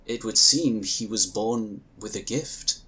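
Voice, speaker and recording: A man voicing a calm, dutiful space agent. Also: on the low side. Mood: calmly insistent. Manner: measured, polite, firm. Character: calm, dutiful male psionic scion agent. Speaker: character in audio drama.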